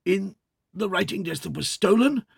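A man's voice: high-pitched, lively intonation, moderate pace, creaky, breathy edge, dry recording, studio quality, pitched above the performer's own voice, heavily acted. A man reading aloud, voicing a panicked middle-aged French father who stammers in alarm. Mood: frantic. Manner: stammering, frantic.

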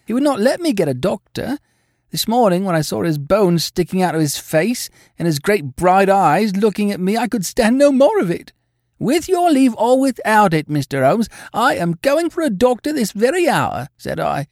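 Man voicing an anxious, high-pitched older landlady. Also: sing-song, normal speed, darkish tone, dry recording, studio quality. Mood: distressed, urgent. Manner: urgent, emphatic, deferential.

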